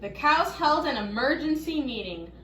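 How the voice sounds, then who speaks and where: A woman reading a children's story aloud, expressive and deliberate, stopping to prompt young listeners with questions. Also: high-pitched. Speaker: storybook reader leading children. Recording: roomy echo, faint background noise.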